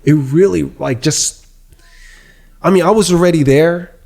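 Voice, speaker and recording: A man with a dark, mellow voice hosts a casual podcast chat. He talks excitedly and keeps repeating phrases for emphasis. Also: fairly high pitch, a little slow, vocal fry. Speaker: podcast host.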